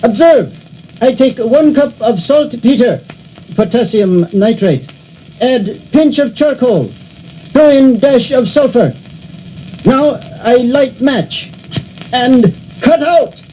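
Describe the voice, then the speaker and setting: A man's voice: very high voice, takes it slowly, dark tone, slight background noise, low-quality recording. A man voicing a pompous elderly male philosopher in a cartoon, speaking in stilted, clipped broken English.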